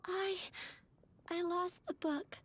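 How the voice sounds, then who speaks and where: A woman's voice: extremely high pitch, steady tone, slow pace. A woman playing a timid, earnest young flower-shop assistant in an anime game dub, girlish, polite and guileless. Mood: anxious. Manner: polite, guileless, easily flustered. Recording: music playing behind.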